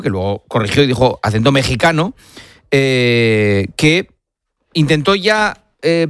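A man hosting a late-night radio sports show, talking conversationally and pausing on fillers. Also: fairly high pitch, a bit bright, no room sound, clean studio sound. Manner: conversational, hesitant fillers between points. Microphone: studio microphone.

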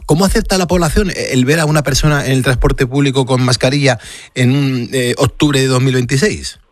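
A man's voice: medium pitch, fairly even intonation, brisk, no room sound. Male night-radio presenter, Castilian Spanish, conversational, direct to listener. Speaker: radio host. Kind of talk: late-night live radio talk. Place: radio studio.

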